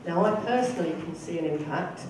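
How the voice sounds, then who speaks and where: A council candidate in a debate, speaking in a measured, explanatory way. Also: medium pitch, expressive. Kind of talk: debate speech. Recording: echoey hall, far from mic, noise.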